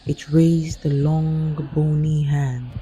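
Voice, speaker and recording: A man narrating a scripted horror folktale as a storyteller, in a grave, suspenseful manner with a husky voice. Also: a little high, little pitch movement, very noisy. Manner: slow, grave, suspenseful delivery.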